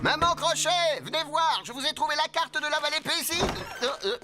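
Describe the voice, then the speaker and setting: An actor playing a fussy, nervous, bumbling pirate first mate in a cartoon, fretful and stammering. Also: male voice, very high voice, a little fast, over loud music. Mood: flustered, fretful. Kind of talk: French-dubbed children's cartoon.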